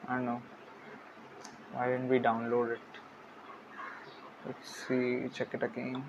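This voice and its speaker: Quiet young man, flat and mumbling. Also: takes it slowly. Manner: quiet, low-key, thinking aloud with trailing mumbles. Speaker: programming tutorial host. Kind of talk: casual screen-recorded coding tutorial. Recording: dull lo-fi mic with clear background hiss.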